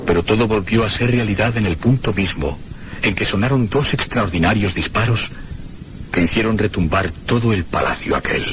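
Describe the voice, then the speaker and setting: A man playing a solemn, haunted narrator in a radio horror drama, with suspenseful, deliberate dramatic phrasing. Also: lowish voice, close to an everyday voice. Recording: noisy radio broadcast recording.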